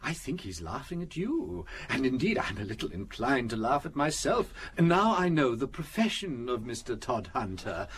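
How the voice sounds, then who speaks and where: Animated, gently amused British priest detective. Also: male voice, mid-range voice, normal speed, hardly any pauses, slight background noise. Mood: amused, teasing. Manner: chuckling, patiently explaining, teasing. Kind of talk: British radio drama acting.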